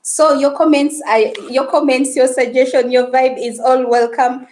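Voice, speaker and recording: Woman, Luganda speaker, live-stream host, chatty and a touch high-pitched. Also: fairly even intonation, normal speed, darkish tone. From Uganda. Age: adult woman, married. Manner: chatty, greets and reads viewer comments aloud. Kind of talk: casual live-stream chat.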